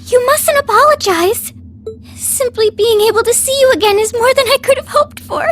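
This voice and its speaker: A woman's voice: very high-pitched, moderate pace, faint music behind. A woman playing a sweet, delicate young princess in a video game, with polite, formal, tender diction. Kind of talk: dramatic video game voice acting.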